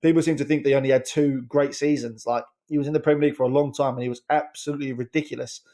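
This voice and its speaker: A man giving his opinions on a casual football podcast, rambling quickly and restarting his sentences. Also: medium pitch, steady tone, mellow. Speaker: podcast regular, head coach segment.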